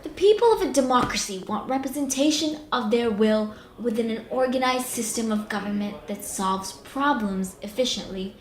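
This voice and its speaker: A woman's voice: a little high, lively intonation, normal speed, hardly any pauses, reverberant, lightly acted. A woman playing an earnest, moralizing TV journalist in an audio drama, arguing emphatically and articulately. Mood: earnest, insistent. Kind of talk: amateur audio drama acting.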